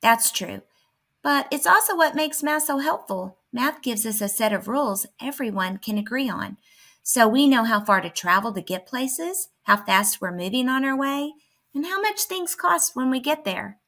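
A woman's voice: on the high side, animated, normal speed, a bit bright. A woman voicing a curious, cheerful alien who patiently explains math in a children's storybook. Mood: encouraging. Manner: inquisitive, encouraging, explanatory.

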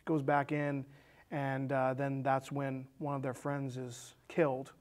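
A man's voice: medium pitch, completely flat delivery, unhurried. A man in his thirties casually talking through a movie's story as co-host of a film discussion show. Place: small indoor studio set with curtains.

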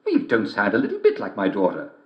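A man's voice: fairly low pitch, huge pitch swings, quick pace, roomy sound, highs cut off. A man voicing a wary but trusting mother who lives in the woods.

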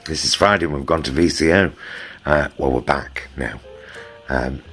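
Middle-aged Northern English man, very low voice, homely and affectionate food vlogger, music behind. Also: normal speed, a bit bright. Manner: affectionate, homely, addresses viewers as loves. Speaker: vlog host. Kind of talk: casual chatty food vlog narration.

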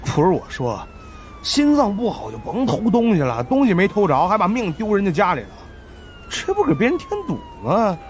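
A high, swooping voice for a mouthy fat investigator in an audiobook. He is blunt and sardonic. There is noisy ambience behind him. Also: huge pitch swings, normal speed, a little mellow. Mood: mocking. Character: mouthy, irreverent fat man, sidekick investigator. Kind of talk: audiobook character acting.

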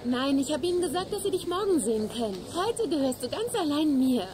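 An actress playing an affectionate, eager young wife in a dubbed sitcom, gushing and direct. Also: female voice, high-pitched, big pitch swings, brisk, very noisy. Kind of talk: German-dubbed sitcom acting.

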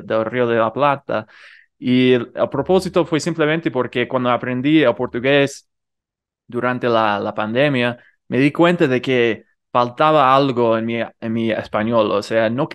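Earnest American male guest, smooth clear tone, learner's accent in Rioplatense Spanish. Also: mid-range voice. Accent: American learner speaking Rioplatense Spanish. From United States. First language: English. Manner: earnest, many fillers and restarts. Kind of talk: conversational interview over video call. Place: home room. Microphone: headset with studio mic in front.

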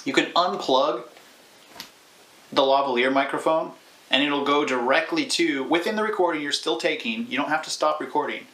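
A man's voice: on the high side, moderate pace, a bit bright. A man in his thirties explaining a how-to in an informal, stop-and-start way as he demonstrates. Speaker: YouTube tutorial presenter. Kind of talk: casual explanatory how-to talk. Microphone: clip-on lavalier or camera mic.

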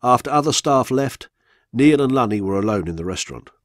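A man reading a scripted true-crime documentary for radio in a measured, factual manner. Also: medium pitch, lively intonation, no echo, studio quality. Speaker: narrator, reader of a true-crime story.

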